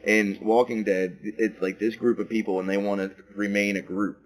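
A man co-hosting a podcast in a casual chat, laid-back and quick to laugh, with lots of fillers. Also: lowish voice, little pitch movement, low-quality recording. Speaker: podcast co-host. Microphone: podcast microphone.

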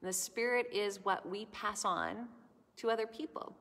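A middle-aged woman giving a children's sermon in a large church sanctuary, speaking gently and explaining things to children. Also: mid-range voice, moderate pace. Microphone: camera in front, at close range. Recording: long church reverb.

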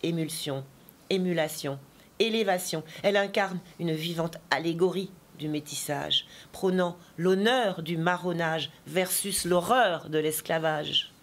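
Chatty woman poet, full of asides. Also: fairly low pitch. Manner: digressive, chatty storytelling with asides; slow, deliberate poetry recitation. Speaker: poet and teacher, interview guest. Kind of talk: interview talk and poetry reading.

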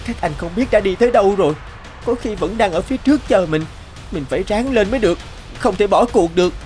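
A woman's voice: medium pitch, animated, quick pace, music in the background, lightly acted. A woman voicing an eager, plucky, polite boy in a cartoon fairy tale. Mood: determined. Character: eager, plucky, polite young youngest brother.

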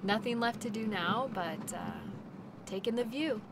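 A woman playing a cheerful, reassuring dog-sled musher in a naturalistic audio roleplay, chatting gently to her passenger. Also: on the high side, measured pace, noisy background. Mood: calm, friendly. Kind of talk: audio roleplay, naturalistic second-person acting.

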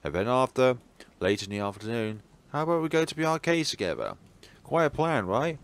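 A man acts out an optimistic teenage schoolboy, the caring best friend in a visual novel. He speaks earnestly, with very animated pitch. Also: brisk. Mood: cheerful, rallying.

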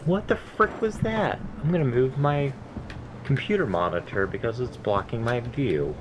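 A man's voice: mid-range voice, animated, normal speed, background noise. A man with a nasal voice chatting casually while playing a game, rambling between stories and swearing at his mistakes. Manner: rambling, self-deprecating, swears at deaths. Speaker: gameplay commentator. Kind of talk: casual let's-play chat.

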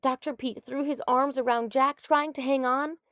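A woman narrating a children's story in a deliberate, expressive read-aloud style. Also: sits high, no echo, clean studio sound.

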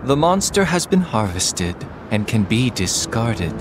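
An actor playing a calm, theatrical villain in a video game, reciting prophecy in a solemn, poetic way. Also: male voice, lowish voice, noisy background. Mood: cold, menacing. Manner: slow, solemn poetic delivery. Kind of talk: dramatic video game voice acting. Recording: orchestral game music behind voice. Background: game music.

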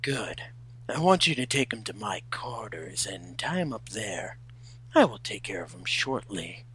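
A man voicing a brooding hedgehog prince, curt, reserved and hushed, in a fanfic reading. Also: on the low side, very animated, measured pace, husky, voice pushed up, full character voice. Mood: cool command.

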